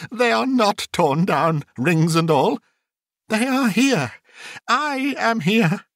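A man's voice: on the high side, animated, measured pace, a bit bright, dry recording, clean studio sound, voice pushed up. A man voicing a reformed old miser bursting with joy and tearful emotion, exclaiming and whooping in a dramatic storybook reading. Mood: tearful relief. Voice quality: voice breaking with emotion.

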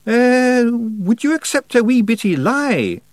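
A rascally wee Scottish clansman, high-pitched and wildly swooping. He blusters proudly in broad dialect, excitable as a storybook scamp. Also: takes it slowly, no room sound, exaggerated voice. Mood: nervous.

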